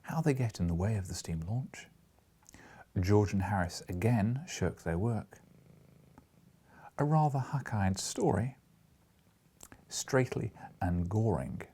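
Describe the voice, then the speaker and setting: A British man with a husky, gravelly voice, reading an audiobook and speaking informally in a gentle, unhurried manner. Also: fairly low pitch, animated. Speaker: audiobook reader and host. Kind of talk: audiobook narration with informal asides.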